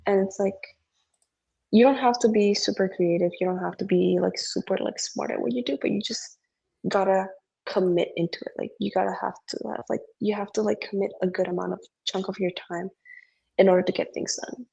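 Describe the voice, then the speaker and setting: A woman's voice: mid-range voice, steady tone, brisk, creaky, dark tone, breathy edge, dull audio. A young woman who grew up speaking Indonesian, venting in English in a casual podcast chat, rambling and swearing with lots of filler words. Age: young adult. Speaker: podcast co-host.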